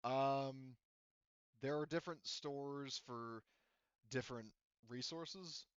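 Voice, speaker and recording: A man running a tabletop game as game master, explaining the situation off the cuff in a halting, rambling way and often qualifying himself. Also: medium pitch, clean tone, no echo, dull audio.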